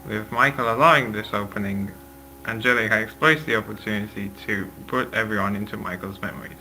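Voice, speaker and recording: A man's voice: lowish voice, fairly quick, pushed and bright, low-quality recording. A man narrating scripted story action at a table read, with a faint hum behind him.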